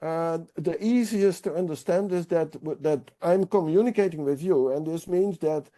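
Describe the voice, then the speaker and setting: Man with a very dark voice. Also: on the high side. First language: Dutch. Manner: thoughtful, halting with frequent fillers and restarts. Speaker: senior researcher guest. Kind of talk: explanatory interview talk.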